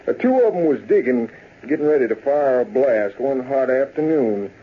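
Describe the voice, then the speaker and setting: A man's voice: medium pitch, expressive, normal speed, breathy edge. A man playing a folksy American old-west storyteller in an old-time radio western, speaking in a colloquial drawl. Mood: folksy, relishing. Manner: drawling, colloquial, chuckling cowboy yarn-spinning. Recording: tinny, noisy old radio broadcast recording.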